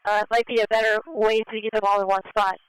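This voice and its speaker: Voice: mid-range voice, flat delivery, quick pace, clear, resonant tone, no echo, low-quality recording. A voice speaking over police radio, heard on a scanner.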